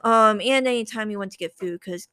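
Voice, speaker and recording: A woman's voice: mid-range voice, fairly quick, fairly bright tone, clean tone, no echo, studio quality. A young woman telling her own story as a podcast guest in a casual, chatty way, giggling as she talks. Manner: chatty, giggles while talking.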